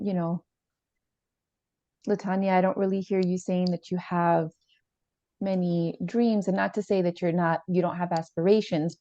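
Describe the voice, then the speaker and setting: Calm female therapist, acted by a woman: measured, lowish, creaky voice, slightly muffled recording. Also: no room sound, close to an everyday voice. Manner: measured, tentative, reflecting back. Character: calm, probing female therapist leading a session. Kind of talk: improvised therapy role-play.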